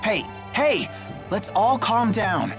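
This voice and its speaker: Earnest, expressive teenage boy. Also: medium pitch, takes it slowly. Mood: concerned. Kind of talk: English video game voice acting. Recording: background music under the voice.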